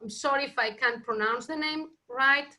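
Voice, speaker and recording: A woman's voice: high voice, normal speed, pushed and bright, slight background noise. A woman leading a poetry reading and group discussion. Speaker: session leader reading a poem.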